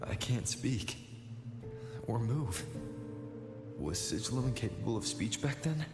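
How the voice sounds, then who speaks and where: A man voicing a calm, kind young hero in a video game, with a measured, soft-spoken delivery. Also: mid-range voice, loud music behind the voice. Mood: concerned. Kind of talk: video game voice acting, inner monologue.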